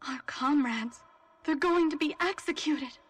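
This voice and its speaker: A woman's voice: sits high, a little slow, far from the mic. A woman playing an anxious, despairing militant in a video game cutscene, with a worried way of talking.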